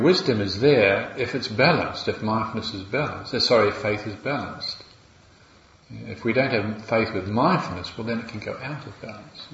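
A man with a New Zealand accent, a Buddhist monk giving a gentle, reflective dharma talk with wry asides. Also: fairly low pitch, slight background noise. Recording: roomy, slightly dull recording with faint ambience.

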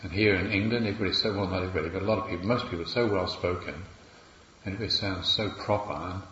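Man, New Zealand accent, Buddhist monk, reflective dharma talk, lowish voice, echoey and slightly muffled recording. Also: steady tone, rapid-fire, a little hiss or hum. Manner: gentle, reflective, wry asides with soft laughs. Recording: roomy, slightly dull recording with faint ambience.

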